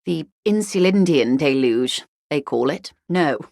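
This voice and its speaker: Posh rich middle-aged negotiator lady from a video game, low voice, dry clean recording, wry and formal, very composed. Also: expressive, gravelly, darkish tone, dry recording, full character voice. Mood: wry, somber. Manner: measured, eloquent, dryly ironic, formal. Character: poised wealthy middle-aged female corporate negotiator, wry and worldly. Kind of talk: video game character dialogue.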